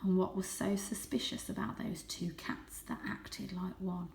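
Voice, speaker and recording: A woman reading a children's story aloud as host, with expressive, dramatic and suspenseful narration. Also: on the low side, measured pace, vocal fry. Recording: echoey room.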